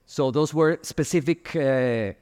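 A man speaking as a panelist at a conference, explaining figures in a measured way with frequent fillers. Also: mid-range voice, a little mellow, clean tone. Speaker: airline association panelist. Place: conference hall. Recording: reverberant conference hall.

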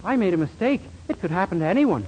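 An actor playing a naive, earnest, bumbling young employee in a 1940s radio sitcom. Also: male voice, high-pitched, lively intonation, quick pace, a little mellow, highs cut off. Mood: apologetic. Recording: noisy 1940s radio recording.

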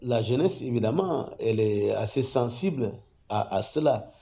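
A man who works as an ecology professor, explaining his research in a measured, careful way with frequent hesitations. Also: medium pitch, expressive, mellow, lo-fi sound. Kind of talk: interview testimony.

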